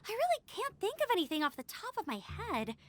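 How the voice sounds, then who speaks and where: A woman voicing a sweet, easygoing, polite young girl with a gentle, hesitant manner in an anime-style video game. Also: very high-pitched, huge pitch swings, fairly quick, full character voice. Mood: hesitant, apologetic.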